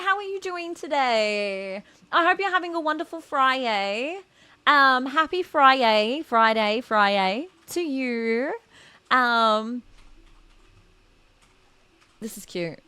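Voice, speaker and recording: A woman's voice: high-pitched, animated, slow, bright, forceful tone, smooth, dry recording. A woman chatting casually as she hosts a game stream, greeting viewers affectionately.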